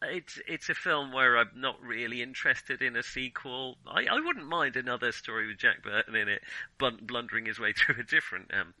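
A middle-aged British man talks casually as a podcast co-host. His voice is very bright and crisp-edged, recorded dry with no room sound. He feels his way through his thoughts in a hesitant, searching manner. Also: medium pitch, animated, fairly quick, no room sound, rough recording. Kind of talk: casual film discussion.

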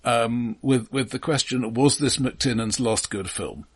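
Slightly husky man, halting. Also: medium pitch. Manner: hesitant, halting, repeats words while thinking aloud. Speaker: film podcast host. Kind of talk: casual film discussion.

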